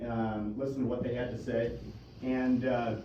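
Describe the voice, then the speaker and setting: A man in his thirties speaking haltingly at a county meeting, with stops and restarts. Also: medium pitch, flat delivery, mellow. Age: 30s. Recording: echoey, far from mic, dull, noisy.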